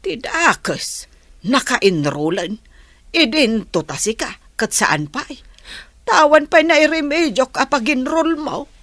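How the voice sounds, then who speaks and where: Woman acting animated, bright-voiced Filipino village mother. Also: high voice, no room sound, full character voice. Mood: indignant, fussy. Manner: exclaiming, drawn-out, theatrical. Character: excitable nosy village mother, folksy and scheming. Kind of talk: Ilocano comedy radio drama acting.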